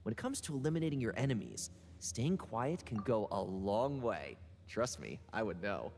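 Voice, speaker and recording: A woman's voice: very deep voice, big pitch swings, normal speed, a little mellow, slight background noise, exaggerated voice. A woman playing a friendly, worldly older sibling in a video game, speaking in an encouraging and knowing way. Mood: knowing, wry. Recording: reverberant, faint game music behind.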